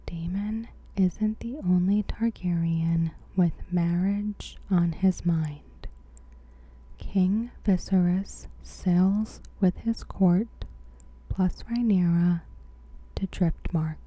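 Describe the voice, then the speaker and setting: A woman softly whispering a scripted recap in ASMR style, breathy and gentle, with deliberate pauses. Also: lowish voice, steady tone, highs cut off. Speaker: ASMR host.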